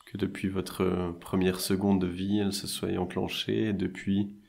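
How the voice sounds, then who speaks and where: A man guiding a meditation in a soothing, measured way, leaving long pauses between short phrases. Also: sits low, monotone, creaky, darkish tone, a little hiss or hum. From French-speaking Switzerland. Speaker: meditation and hypnosis guide. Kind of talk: guided meditation narration.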